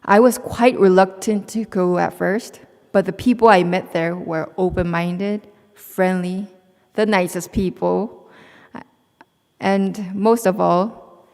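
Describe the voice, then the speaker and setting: A woman's voice: lowish voice, fairly even intonation, measured pace, vocal fry, a little mellow, long reverb tail. A young woman in her twenties with a Korean accent, earnestly telling her personal story to a gathering, with small restarts as she reads. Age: 20s. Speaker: student speaker. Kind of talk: prepared personal talk.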